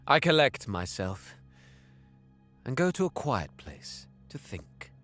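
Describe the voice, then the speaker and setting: A man's voice: mid-range voice, very animated, takes it slowly, a bit bright, faint music behind. A man playing a bitter, scheming young alien prince in an audio drama, with theatrical, disdainful delivery that savors cruelty. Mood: brooding, disdainful. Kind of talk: dramatic first-person narration and dialogue, audio drama.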